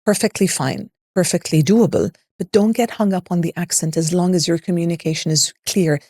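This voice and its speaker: Mellow woman with a neutral international accent. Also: on the low side, brisk, no echo, studio quality. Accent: neutral international English. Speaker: voice and public speaking coach, interview guest. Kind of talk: explanatory interview conversation.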